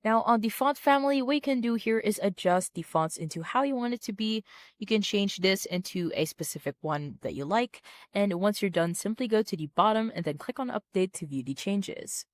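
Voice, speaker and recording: A woman with a medium-pitched voice presents a how-to software guide. She speaks in an orderly, instructional manner, moving plainly from one step to the next. The sound is close and dry, with no room echo. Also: lively intonation, brisk. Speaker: tutorial presenter. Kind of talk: scripted step-by-step software tutorial narration.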